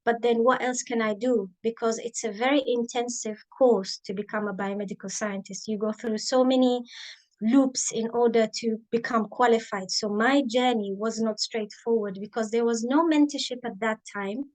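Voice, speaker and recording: A woman in her thirties, raised in the Emirates, telling her career story in an interview, thoughtful and pausing with many ums. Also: fairly high pitch, fairly even intonation, a little mellow, low-quality recording. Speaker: guest, biomedical scientist and author. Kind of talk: interview, reflective personal story.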